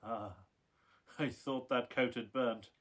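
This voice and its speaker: A man's voice: mid-range voice, fairly even intonation, takes it slowly, a bit bright. A man playing a bitter, wrathful young scientist in a radio play, with intense, melodramatic delivery. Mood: bitter, wary. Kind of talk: theatrical radio play acting. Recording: roomy echo.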